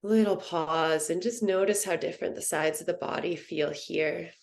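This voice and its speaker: Gentle low-voiced woman guiding meditation. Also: creaky. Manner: soothing, gentle, unhurried instruction. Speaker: meditation teacher. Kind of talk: guided yoga nidra meditation.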